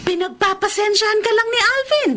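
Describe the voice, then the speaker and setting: Sharp, scolding Filipino mother. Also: very high voice, a little fast, slight background noise. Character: scolding, critical middle-aged Filipino mother. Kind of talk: radio drama acting.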